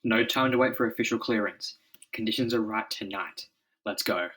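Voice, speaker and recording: A man's voice: on the low side, moderate pace, a bit bright, close to an everyday voice. A man voicing an earnest, commanding scientist leader in a declamatory comic-book reading. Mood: resolute. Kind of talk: dramatic comic-book voice acting.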